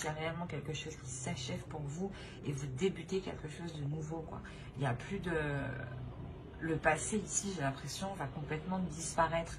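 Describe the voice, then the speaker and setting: A woman giving an informal spiritual tarot reading, halting and repeating herself as she searches for words. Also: low-pitched. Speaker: tarot reader hosting a channel. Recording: clearly noisy recording with ambient sound.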